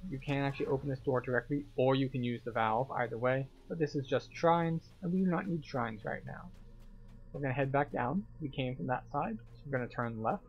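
A man's voice: medium pitch, brisk, highs cut off. A man giving casual, step-by-step commentary as he guides viewers through a video game. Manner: explanatory, step-by-step.

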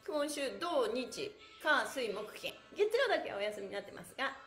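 A woman's voice: sits high, big pitch swings, normal speed, a bit bright, reverberant, soft background music. A middle-aged woman hosting a chatty live exercise class, bubbly and playful as she addresses her viewers. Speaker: pantomime teacher and livestream host. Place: indoor room with bare walls and exercise mat.

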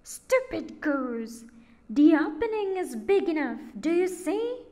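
A woman voicing a wicked, spiteful old witch in a storybook reading, with a shrill, loud and bossy way of talking. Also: sing-song, slow, heavily acted. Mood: scolding.

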